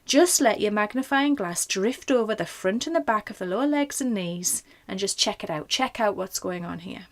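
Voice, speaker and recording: Woman guiding a gentle meditation. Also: medium pitch, expressive, normal speed, few pauses. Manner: gentle, informal, reassuring. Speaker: mindfulness meditation guide. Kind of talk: guided body scan meditation.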